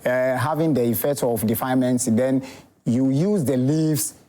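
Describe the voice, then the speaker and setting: Ghanaian herbal doc on tv, dark mellow voice, lots of uh and pauses. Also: male voice, medium pitch. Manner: hesitant, many fillers, plain explaining. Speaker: herbal doctor guest. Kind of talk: TV interview explanation.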